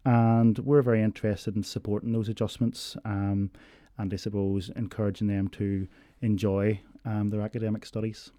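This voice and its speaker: A man explaining university learning support in a measured interview, pausing often on ums. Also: lowish voice, level tone, dark tone, no echo, studio quality. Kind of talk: informational interview answers.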